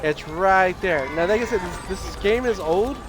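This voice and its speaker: A man's voice: high-pitched, normal speed. A man with a scratchy voice he says is going, chatting casually and rambling on a livestream. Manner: rambling, with many restarts. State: hoarse, losing his voice. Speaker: streamer. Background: video game music.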